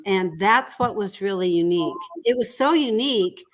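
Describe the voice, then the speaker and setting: A woman speaking as an interview guest, explaining in a measured, deliberate way and repeating points for emphasis. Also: medium pitch, lively intonation. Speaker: audiobook producer and guest. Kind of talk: conversational explaining.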